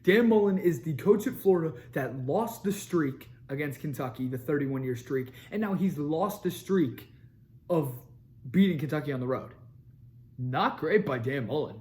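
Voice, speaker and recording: Young guy doing hyped football talk, voice a bit raspy from yelling, mellow tone, kinda high, jumps all over. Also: moderate pace. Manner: animated, repeats lines for emphasis, ranting. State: slightly raspy from screaming. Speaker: sports show host. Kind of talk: fired-up sports commentary to camera.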